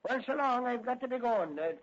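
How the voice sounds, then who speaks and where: A man's voice: very high-pitched, lively intonation, fairly quick. An actor playing a nosy, folksy policeman with a colloquial way of talking in a 1940s radio drama. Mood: casually nosy. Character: nosy, folksy policeman, plain-spoken and slyly suspicious. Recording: muffled, hissy 1940s radio recording.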